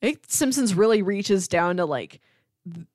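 A woman co-hosting a podcast, casually retelling scenes like an animated storyteller. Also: mid-range voice, normal speed, no room sound, studio quality. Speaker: podcast co-host.

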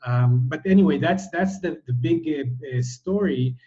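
A middle-aged man, a Hebrew speaker, answering interview questions haltingly, full of fillers and restarts. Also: on the high side, mellow, slightly rough. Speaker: guest, translator. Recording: dull, muffled sound.

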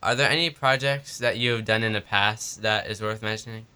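A man's voice: lowish voice, a little fast, bright, forceful tone, smooth. A man hosting a radio interview, asking short questions and giving brief prompts.

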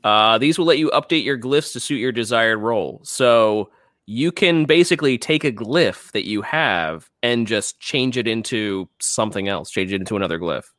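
A man's voice: lowish voice, normal speed, fairly bright tone, no echo, studio quality. A man co-hosting a casual gaming chat in an easygoing, agreeable way. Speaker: talk show co-host.

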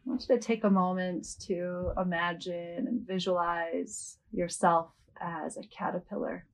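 A woman leading a guided yoga nidra meditation as a host, speaking gently and without hurry, with long pauses. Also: lowish voice, steady tone.

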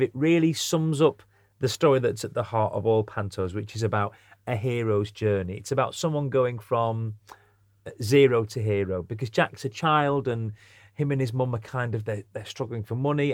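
A man's voice: mid-range voice, dry recording, studio quality. A man with a British accent chatting thoughtfully as an interview guest, an actor and writer. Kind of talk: casual, thoughtful interview chat.

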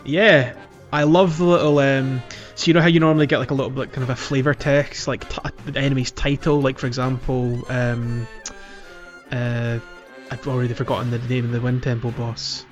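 A man's voice: mid-range voice, level tone, normal speed, muffled recording, soft background music. A man co-hosting a podcast, casually and somewhat hesitantly chatting through a video game playthrough. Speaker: podcast co-host.

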